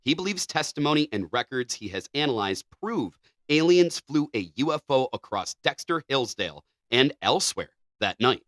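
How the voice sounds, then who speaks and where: A man's voice: mid-range voice, sing-song, normal speed, a bit bright, no echo, studio quality. A man co-hosting a podcast, chatting casually and reading news articles aloud. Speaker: podcast co-host.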